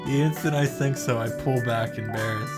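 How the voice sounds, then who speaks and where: A man's voice: mid-range voice, normal speed. Informal man reacting to a visual novel. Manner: sweary, informal. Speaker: video game reaction host. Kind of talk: reading visual novel text aloud with casual commentary. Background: game music.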